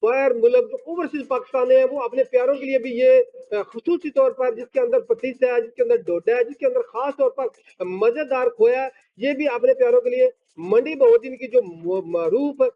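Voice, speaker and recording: A man reporting from outside a sweets factory, halting with fillers as he interviews. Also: very high voice. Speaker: field reporter. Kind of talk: news field report and interview. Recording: dull lo-fi field recording.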